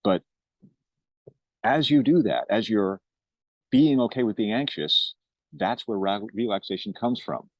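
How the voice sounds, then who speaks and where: A man's voice: fairly low pitch, animated, a little slow, pauses often, no echo. A man giving an informal personal testimonial to camera on a dull, lo-fi recording. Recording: dull, lo-fi sound.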